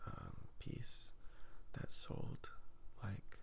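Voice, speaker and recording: A man talking softly in a breathy half-whisper, rambling casually in an ASMR style, hesitant and often trailing off. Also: extremely slow. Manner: hesitant, trailing off, thinking aloud. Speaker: ASMR video creator and artist.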